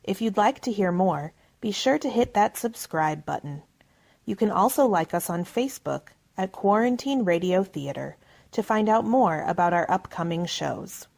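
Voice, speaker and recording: A woman's voice: mid-range voice, expressive, moderate pace, gravelly, darkish tone. A woman announcing and narrating a radio drama in a scripted, dramatic style.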